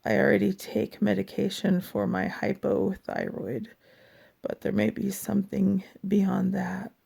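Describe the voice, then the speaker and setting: A woman with a raspy, hoarse voice from a lasting cough, giving a personal health update in a slow, halting, tired way with many pauses. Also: mid-range voice, little pitch movement, darkish tone. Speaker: vlogger.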